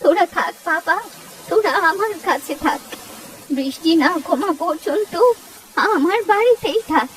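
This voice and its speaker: Woman voicing a high-pitched kindly old village granny. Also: expressive, unhurried, fairly bright tone, recorded from a distance, slight background noise, exaggerated voice, poor audio. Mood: caring, coaxing. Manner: homely, motherly, exclamatory. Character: kind old village granny, sheltering and advising. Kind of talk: Bengali moral-story cartoon dub.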